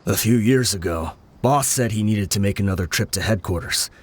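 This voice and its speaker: A man's voice: on the low side, slight background noise, lightly acted. A man voicing a grim gang lieutenant. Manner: measured, explaining. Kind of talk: video game voice acting.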